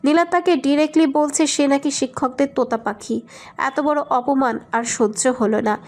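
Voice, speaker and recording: A woman reads a romantic story as its narrator. Her voice is on the high side, and she delivers scripted prose. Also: steady tone, normal speed.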